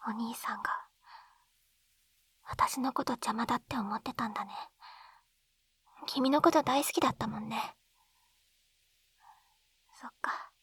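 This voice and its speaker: A woman playing a shy, lovestruck schoolgirl in an intimate ASMR roleplay, speaking breathily and haltingly, close to a binaural microphone. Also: a little high, a bit bright, no echo, exaggerated voice. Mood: tender, intimate. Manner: breathy, halting, often dropping into whispers. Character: lovestruck schoolgirl reunited from another world, shy and tender. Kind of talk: Japanese ASMR roleplay, intimate situation voice acting. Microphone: close binaural dummy-head microphone.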